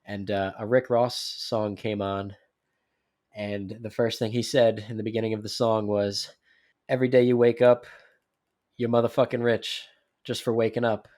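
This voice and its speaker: A man co-hosting a casual podcast, congested, talking in an animated, joking way. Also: lowish voice, moderate pace. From New Jersey. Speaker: podcast co-host.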